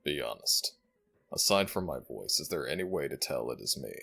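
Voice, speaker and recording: A man voicing an ancient jackal god in an audio roleplay, regal and fondly exasperated, with formal, measured speech and a deep, gravelly voice. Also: very animated, sharp tone, soft background music, full character voice. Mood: calm, proud.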